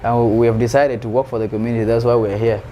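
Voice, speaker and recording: A man's voice: medium pitch, fast. A man from South Sudan, a musician answering interview questions in an earnest, searching way, often repeating words as he finds his phrasing. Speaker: musician guest. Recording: steady background noise.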